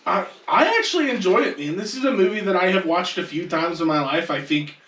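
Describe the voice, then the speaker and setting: A man with a slightly high voice chats informally and easily as a podcast co-host. The sound is roomy and echoey, with the mic some distance off. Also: lively intonation, a little fast, a bit bright. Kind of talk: casual panel chat.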